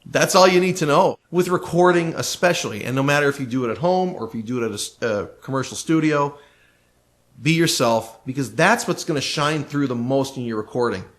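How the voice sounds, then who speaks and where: A man with a medium-pitched voice talks casually as a podcast co-host. He is emphatic and opinionated, speaking like someone handing out practical advice. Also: a little fast, a bit bright. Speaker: podcast co-host, recording engineer.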